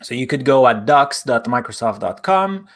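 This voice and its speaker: A man's voice: mid-range voice, big pitch swings, moderate pace, fairly bright tone. A man with a French accent, whose first language is French, chatting casually and explaining things on a tech stream, with small grammar slips. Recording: online stream.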